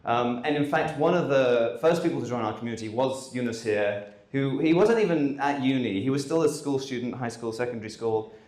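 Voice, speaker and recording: Male host, mid-pitched, casual stage remarks, roomy hall sound. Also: a little fast, few pauses. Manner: relaxed, conversational, with frequent ums. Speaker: conference host. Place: conference hall. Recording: echoey hall.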